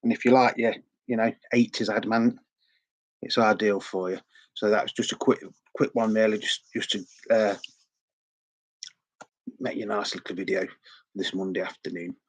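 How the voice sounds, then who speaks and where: A man's voice: lowish voice, fairly even intonation, normal speed, long pauses, slightly rough, poor audio. A middle-aged man with a sore throat, chatting in a rambling, repetitive way as host of a record collecting vlog. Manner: rambling, chatty, repeats words often. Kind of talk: casual vlog chat.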